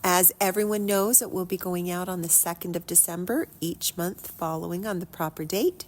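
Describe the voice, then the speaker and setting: A woman's voice: lowish voice, fairly even intonation, moderate pace. A woman hosting a programme, chatting casually as she introduces a reading. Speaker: programme host. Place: chilly old music hall auditorium.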